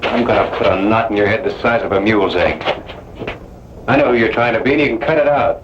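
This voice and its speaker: An actor playing a stubborn, grim, commanding rancher with terse, tough talk in an old-time radio western. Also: male voice, on the low side, quick pace, lightly acted. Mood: stern, scolding. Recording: muffled, noisy old radio broadcast.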